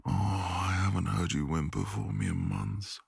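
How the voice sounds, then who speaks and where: A deep-voiced man with a husky, gravelly edge speaks slowly and deliberately, his pitch swooping widely between low murmurs and sharper rises. He plays a dominant, commanding part in an intimate audio roleplay and often sinks to a whisper. The recording is close and dry, with no room sound. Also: sing-song, no echo.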